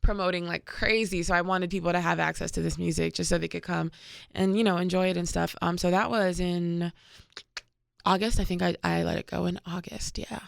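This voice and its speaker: A woman from Cameroon, a musician guest chatting in a relaxed, easygoing way in a radio studio. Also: fairly low pitch, brisk, creaky, no echo. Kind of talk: casual interview chat.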